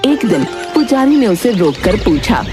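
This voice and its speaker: A woman's voice: mid-range voice, very animated, measured pace. A woman narrating a scripted children's story as the storyteller. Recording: background music under the voice.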